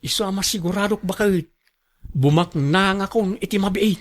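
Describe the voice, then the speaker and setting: A man's voice: high voice, moderate pace, lightly acted. A man with an Ilocano accent playing a lazy, dreamy husband who stubbornly boasts of coming riches in a radio drama. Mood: boastful, hopeful.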